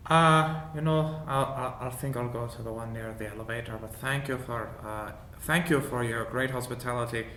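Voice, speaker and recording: Polite, hesitant dwarf traveller and inventor, played by a man, halting delivery, tabletop game, echoey room. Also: steady tone, sharp tone, lightly acted. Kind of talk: in-character tabletop roleplay. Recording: roomy echo, low rumbling background noise.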